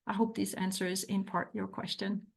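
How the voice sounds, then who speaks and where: A woman's voice: mid-range voice, level tone, moderate pace, vocal fry, slightly rough. A middle-aged woman from France with a French accent, giving an academic talk and answering questions, earnest and full of restarts. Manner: earnest, hesitant with frequent restarts. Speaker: academic author.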